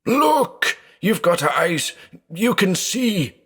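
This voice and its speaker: A man's voice: deep voice, very animated, a little slow, full character voice. A man voicing a terrified blind man alone at night, frantic and pleading, breaking into shrieks. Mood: panicked screaming.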